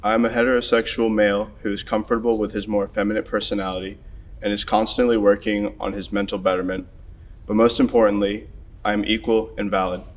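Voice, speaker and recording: Student guy racing through a scripted piece, flat voice, slight noise. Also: fairly low pitch, fast. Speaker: student speaker. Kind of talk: scripted personal monologue.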